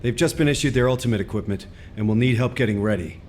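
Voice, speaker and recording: An actor playing a calm, supportive male commander giving orders and praise. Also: mid-range voice, fast, slight background noise, lightly acted. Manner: calm and encouraging. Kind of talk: game cutscene voice acting.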